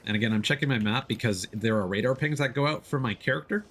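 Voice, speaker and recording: A man's voice: medium pitch, quick pace, fairly bright tone. A man streaming a video game, giving casual live commentary and explaining tactics step by step as he plays. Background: video game sound.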